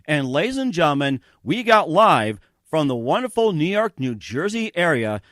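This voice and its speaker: Male talk show host. Slightly high pitch, very dry recording, rambling and hesitant. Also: sing-song, fairly bright tone, no echo. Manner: halting, rambling, filler-heavy. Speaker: radio show host and interviewer. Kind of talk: interview hosting and promotional reads.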